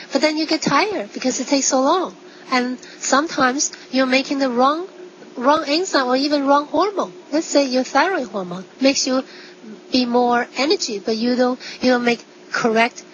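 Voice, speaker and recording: A woman's voice: sits high, fairly bright tone, muffled recording, slight background noise. A woman doctor explaining health in an interview, using simple everyday examples. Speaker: medical doctor and acupuncturist.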